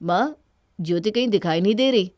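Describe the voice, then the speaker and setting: A woman plays a young husband in a cartoon drama, wounded and distrustful. The voice sits at a medium female pitch and is recorded very dry. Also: animated, brisk, a little mellow, dry recording, lightly acted. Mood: suspicious, bitter. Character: suspicious, bitter young husband, wounded by past marriage. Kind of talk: Hindi cartoon moral-story voice acting.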